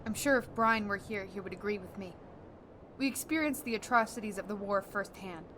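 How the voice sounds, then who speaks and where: An actress playing a blunt, hardened war-veteran space captain in a science-fiction audio drama. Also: female voice, mid-range voice, a little fast, noisy background, lightly acted. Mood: vengeful. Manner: blunt, hard-edged.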